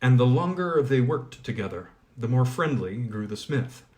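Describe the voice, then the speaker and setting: A man narrating a fairy tale aloud in a measured, expressive reading. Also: mid-range voice. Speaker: audiobook reader. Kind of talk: storybook narration.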